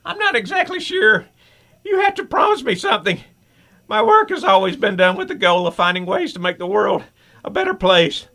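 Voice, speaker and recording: A man playing a dying, earnest scientist in a radio superhero drama, speaking in a strained, halting, urgent way. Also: high-pitched, very animated, sharp tone, full character voice. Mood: pleading, tender. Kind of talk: old-time radio superhero drama acting.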